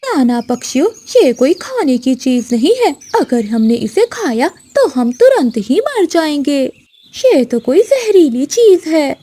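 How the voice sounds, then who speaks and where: A woman plays a scheming female crow from a children's cartoon. Her voice is very high and swings in pitch, with a slow, emphatic delivery as she bosses and persuades. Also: big pitch swings, mellow. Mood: false warning. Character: sly, scheming female crow, bossy and deceitful. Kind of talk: children's cartoon story acting.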